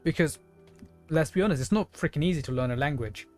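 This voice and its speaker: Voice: medium pitch, fast talker, darkish tone. A game streamer giving casual live commentary, thinking aloud and speculating in a musing way. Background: game music.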